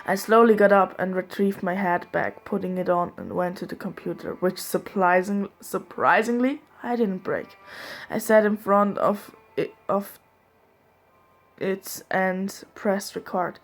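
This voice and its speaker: A woman's voice: mid-range voice, unhurried. A young woman reading fanfiction aloud in the first person, sometimes giggling and breaking off to joke, with faint music behind her. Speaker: fanfiction reader.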